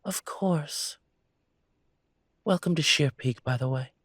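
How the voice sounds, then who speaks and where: Man playing a courteous, higher-voiced coffee shop owner. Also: takes it slowly, fairly bright tone, breathy edge, dry recording, studio quality, full character voice. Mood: delighted. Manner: courteous, formal, effusive. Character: Trevelyan, polite, eager male coffee shop owner. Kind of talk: roleplay audio drama acting.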